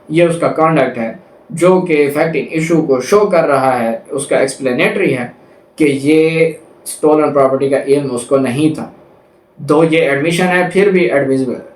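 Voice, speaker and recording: Man, Pakistani accent, slightly high voice, roomy echo, didactic lecturer, repeats and rephrases, mixes in English terms. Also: darkish tone. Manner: switches between Urdu and English legal terms. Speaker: law lecturer. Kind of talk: explanatory lecture with examples, reading out legal text. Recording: echoey, roomy sound.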